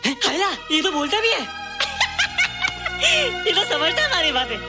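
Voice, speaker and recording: An actor playing a childlike, naive, excitable young man who exclaims and repeats himself in a Hindi film. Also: extremely high pitch, slow, exaggerated voice. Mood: amazed. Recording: film dialogue over faint music.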